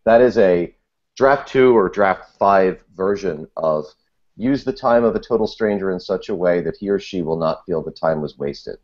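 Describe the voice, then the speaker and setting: Low-voiced man in a muffled podcast discussion. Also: normal speed, a little mellow. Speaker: podcast panelist and writer.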